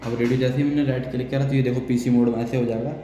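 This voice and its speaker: A man's voice: medium pitch, flat delivery, rapid-fire, mellow, lo-fi sound. An Indian man giving a hands-on technical tutorial, explaining step by step and repeating key phrases. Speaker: technical instructor. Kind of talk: hands-on spoken software tutorial. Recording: echoey reverberant room, noisy, distant sound.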